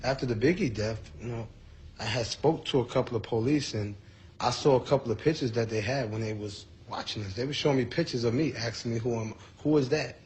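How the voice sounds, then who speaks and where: A man's voice: medium pitch, brisk, a little hiss or hum, low-quality recording. A man giving an informal eyewitness account in an interview, colloquial and repeating his words as he goes. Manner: repetitive, colloquial.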